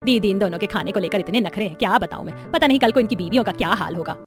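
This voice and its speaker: Woman acting middle-aged mother, caring, fretful, complaining, brisk, story cartoon, faint music. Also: medium pitch, natural voice. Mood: worried.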